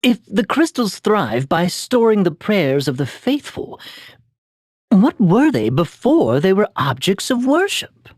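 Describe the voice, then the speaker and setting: A man playing a troubled, gallant young knight in a video game, earnest and formal, with a husky, breathy, halting delivery. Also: sits high, sing-song, no echo, clean studio sound, heavily acted. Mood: questioning.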